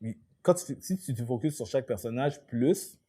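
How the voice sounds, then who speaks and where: A young Québécois man with a mellow, warm mid-range voice, chatting in a relaxed way on a podcast. He often repeats himself and slips English slang into his French. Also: medium pitch, normal speed. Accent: Quebec French. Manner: laid-back, repeats words, English slang tossed in. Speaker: podcast co-host. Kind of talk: casual chat.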